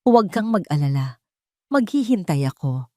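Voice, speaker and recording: A woman's voice: on the low side, very animated, moderate pace, dark tone, no room sound, studio quality. A woman voicing a caring, patient male suitor with a concerned, reassuring way in a romance audio story.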